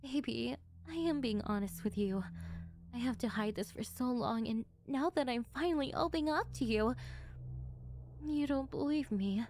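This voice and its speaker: A woman playing a sweet, doting middle-aged mother who is a calmly menacing kidnapper, speaking in a sugary, unhurried ASMR roleplay style. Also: a little high, slight background noise, close to an everyday voice. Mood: doting, earnest. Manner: sugary, unhurried, calm about disturbing things.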